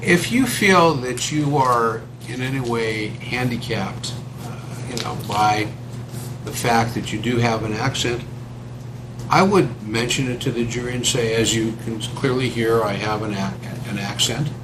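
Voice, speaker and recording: A man on a panel giving careful, deliberate advice to an attorney. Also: medium pitch, noisy background. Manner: joking, then slow and deliberate when advising.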